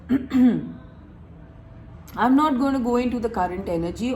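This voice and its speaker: A woman recovering from a cold, reading tarot to her viewers in a chatty, relaxed way, laughing and clearing her throat often. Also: a little high, a little hiss or hum, poor audio. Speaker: tarot reader. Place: room with door open, wind chimes outside.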